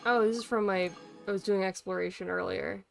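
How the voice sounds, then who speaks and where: A woman's voice: medium pitch, steady tone, fairly quick, vocal fry, soft background music. A woman live streaming a video game with casual, blunt, sweary, informal commentary.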